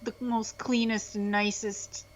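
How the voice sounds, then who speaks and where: A woman's voice: a little high, little pitch movement, unhurried. A woman from Ukraine speaking as a guest in an interview, talking earnestly and restarting her phrases. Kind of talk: conversational interview testimony. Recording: slightly dull, lo-fi sound.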